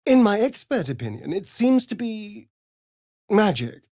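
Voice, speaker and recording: An actor playing a vain, sardonic vampire rogue in a fantasy video game, with an arch, drawling, theatrical delivery. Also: male voice, high-pitched, sing-song, a little slow, a little mellow, no echo, studio quality, exaggerated voice.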